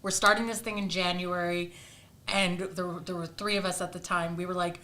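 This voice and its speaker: A woman's voice: on the low side, flat delivery, a little fast, pushed and bright. A woman chatting as a guest podcast co-host, agreeing and chiming in often. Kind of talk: casual chat.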